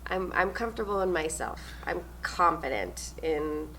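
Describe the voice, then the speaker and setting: Young woman stage manager, from new zealand, loud and laughy, gravelly low voice. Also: animated, normal speed, slight background noise. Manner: loud and upbeat, laughs often. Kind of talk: casual interview answers.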